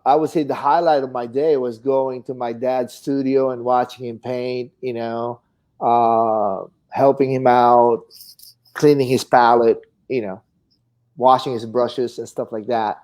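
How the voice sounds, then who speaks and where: A middle-aged man with a Filipino accent and a soft, relaxed tone reminisces in casual conversation. His pitch sits in the usual male range. He tells things in an easygoing, wandering way, like a guest being interviewed. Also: measured pace. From Philippines. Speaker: guest, fine artist painter.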